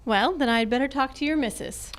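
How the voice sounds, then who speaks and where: A woman's voice: high-pitched, a little hiss or hum. A woman acting a sassy, wry female private detective in an old-time radio detective drama. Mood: brisk, wry. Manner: wry, brisk, teasing. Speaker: amateur radio club performer.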